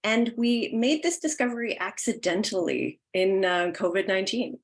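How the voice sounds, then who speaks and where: A woman's voice: mid-range voice, animated, normal speed. A woman with a Canadian accent giving an informal online presentation as a theatre director, in a friendly, welcoming way. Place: indoors, online video call.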